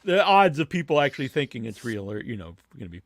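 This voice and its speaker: A man hosting a casual interview podcast, informal and halting as he puts questions to a guest. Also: mid-range voice, very animated, no room sound, studio quality. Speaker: podcast host.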